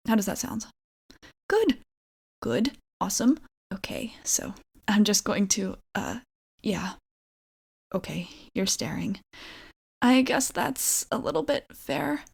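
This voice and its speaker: A woman playing an awkward, nervous young vampire roommate in an ASMR roleplay, halting and rambling apologetically. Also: mid-range voice, big pitch swings, vocal fry, darkish tone, breathy edge, full character voice. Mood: sheepish, bargaining.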